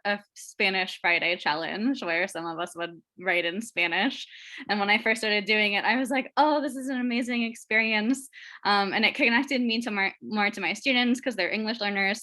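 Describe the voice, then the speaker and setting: A woman teacher of English learners speaks on a video call in a middle-pitched voice. Her tone is bright and upbeat.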